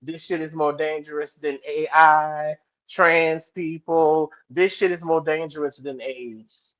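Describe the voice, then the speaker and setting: A man with a fairly high voice, talking with blunt, profane emphasis. He often stops and restarts his sentences. The sound is a little dull and lo-fi. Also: steady tone, takes it slowly, a bit bright. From New Orleans, US. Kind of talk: casual discussion.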